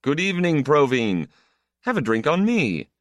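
A man's voice: on the high side, very animated, moderate pace, no echo, clean studio sound, voice pushed up. A man playing a troubled, guilt-ridden, weary grown son in a story, with a bitter manner. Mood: drunk greeting. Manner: stammering, bitter.

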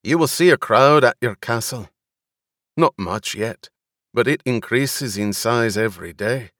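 A man voicing a gruff, loyal retainer in an audiobook, speaking formally and deferentially with urgency and worry. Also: medium pitch, measured pace, fairly bright tone, dry recording, studio quality, natural voice. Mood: worried.

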